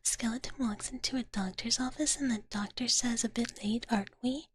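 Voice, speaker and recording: A woman streaming ASMR in a soft, breathy whisper, chatting casually and playfully with viewers up close to the microphone. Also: fairly high pitch, fairly quick. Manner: playful, speaks of self in third person. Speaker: ASMR livestreamer.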